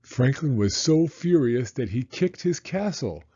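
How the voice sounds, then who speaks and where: A man reading a children's story in an expressive, deliberate narrating style with theatrical emphasis. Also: mid-range voice, husky, lo-fi sound.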